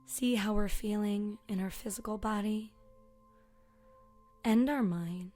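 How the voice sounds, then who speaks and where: Woman doing guided meditation, really slow n soft, soothing, kinda breathy, faint bowl music behind. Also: mid-range voice. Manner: soft, soothing, gentle, with long pauses. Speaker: yoga and meditation teacher. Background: soft meditation music with singing bowl tones.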